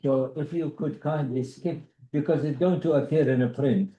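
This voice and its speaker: A man's voice: fairly high pitch, normal speed, dark tone. A man chairing a conference informally, politely but insistently, often repeating himself as he keeps speakers to time. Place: indoor conference room. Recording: roomy echo.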